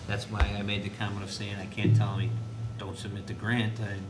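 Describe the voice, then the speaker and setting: A man speaking as an administrator at a school board meeting, explaining in a halting way with restarts. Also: level tone. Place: indoor board meeting room. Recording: noisy, echoey meeting room with low rumble.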